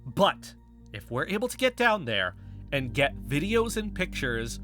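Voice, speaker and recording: A man's voice: on the high side, very animated, a little slow, a bit bright, soft background music. A man acting as an insistent, stubborn conspiracy theorist in an audio drama, speaking with emphatic conviction. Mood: fervent, urgent. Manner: emphatic, insistent, building speeches. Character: impassioned male conspiracy theorist, defensive and stubborn. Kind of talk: scripted audio fiction dialogue.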